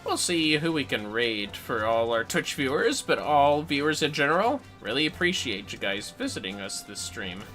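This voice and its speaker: A man hosts a casual gaming livestream. He talks in a low-key, half-muttered way to himself and his viewers, with faint music behind him. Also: very animated, normal speed, hardly any pauses, bright, forceful tone. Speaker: game livestreamer.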